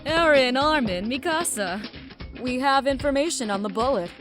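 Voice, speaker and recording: A woman playing an eccentric, curious lab scientist in an audio drama, musing and rambling in an excitable way. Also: high-pitched, moderate pace, music in the background. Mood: intrigued, musing. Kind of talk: scripted audio drama acting.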